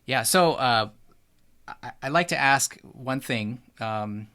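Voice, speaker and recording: A man's voice: medium pitch, animated, slow, sharp tone. A man speaking as a podcast host in a casual, conversational interview style.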